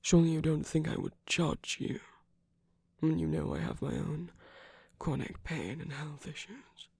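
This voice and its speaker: An ASMR performer acts out a commanding villain who is tender and protective toward a lover. The voice is breathy and gravelly, often falling to a whisper. It is recorded close and dry, with deliberate, coaxing phrasing. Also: mid-range voice, animated, a little mellow, dry recording, heavily acted. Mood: gentle, probing. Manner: slow, deliberate, coaxing, with long pauses. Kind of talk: ASMR roleplay, scripted intimate monologue.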